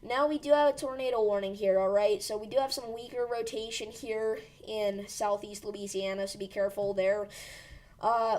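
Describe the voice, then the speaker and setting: A woman's voice: medium pitch, darkish tone. Woman with a fading voice, live storm commentary. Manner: urgent but reassuring, rambling with fillers. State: losing her voice. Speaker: weather livestream host.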